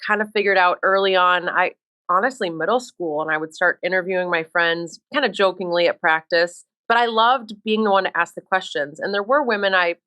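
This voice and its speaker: A woman's voice: lowish voice, bright, forceful tone. Upbeat woman sports reporter laughing easily. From Minnesota. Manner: laughs often, upbeat and self-deprecating. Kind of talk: casual interview.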